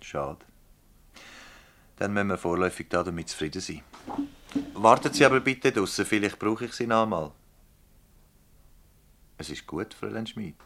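A low male voice with a Swiss German accent plays a public prosecutor in a dialect radio drama. He questions in a formal, probing way, with dry irony and scepticism. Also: animated, normal speed, long pauses, background noise. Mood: sceptical, dry. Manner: formal, probing, dryly ironic. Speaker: actor in a radio play.

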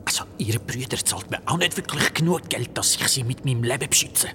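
A man's voice: medium pitch, fairly quick, slight background noise, close to an everyday voice. A man playing a grudging, nervous hired watchman of 1888 in a radio play, impatient and stammering. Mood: urgent, grudging. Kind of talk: German-language radio play acting. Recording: radio play with loud music behind.

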